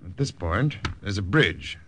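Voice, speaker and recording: An actor playing a brisk, businesslike intelligence officer giving a briefing in a 1950s radio drama. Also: male voice, fairly low pitch, expressive, fairly bright tone. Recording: old radio recording, faint noise.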